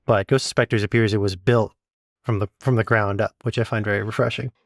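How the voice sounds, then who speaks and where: A man's voice: fairly low pitch, steady tone, a little fast, no room sound, clean studio sound. A man acting as interviewer in a casual conversation, reflecting and summing up with rhetorical questions.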